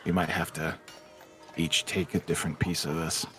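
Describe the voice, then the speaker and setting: A man's voice: low voice, flat delivery, normal speed, sharp tone, faint music behind. A man chatting casually as a player in a tabletop role-playing game.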